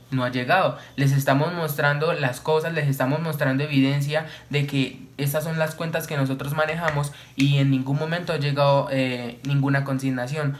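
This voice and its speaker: A young man, calmly explaining a story to camera in a small home room, with many fillers. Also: on the high side, a little hiss or hum. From Colombia. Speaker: vlogger.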